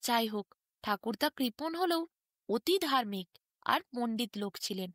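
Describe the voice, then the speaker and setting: A woman tells a bedtime story from a script. Her voice is high and very animated, with expressive, unhurried phrasing, recorded close and dry. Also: no echo.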